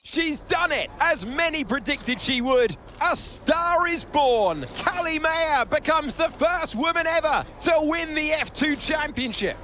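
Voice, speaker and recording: High, bright, excitable race commentator. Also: male voice, measured pace, few pauses, slight background noise, exaggerated voice. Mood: excited. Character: excitable male motor racing TV commentator. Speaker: motorsport commentator.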